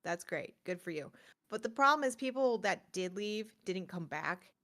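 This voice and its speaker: A woman hosting a gaming show in casual chat, often slipping into animated quotes and asides. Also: mid-range voice, normal speed. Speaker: host of a gaming news show. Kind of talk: casual chat about video games.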